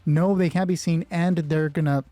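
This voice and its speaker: A man narrating a solo tabletop roleplay and thinking aloud in a casual, sweary way, with a husky voice. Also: fairly high pitch, steady tone, moderate pace, dark tone, no echo. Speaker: solo roleplay game master. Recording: background music under the voice. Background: music.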